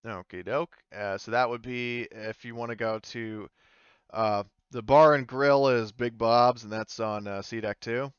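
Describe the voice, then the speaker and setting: A man working as game master, narrating a tabletop game casually and haltingly as he thinks aloud. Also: mid-range voice, fairly even intonation, clear, resonant tone, no echo, muffled recording.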